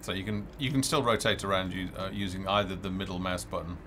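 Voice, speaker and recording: A man's voice: deep voice, steady tone, fast talker, fairly bright tone, a little hiss or hum. A middle-aged man giving casual live commentary on a game he develops, hesitant and thinking aloud as he plays, on a headset. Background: game music.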